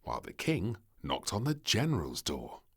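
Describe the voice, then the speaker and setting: A man narrating a children's storybook in an expressive, theatrical and measured way. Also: deep voice, very noisy.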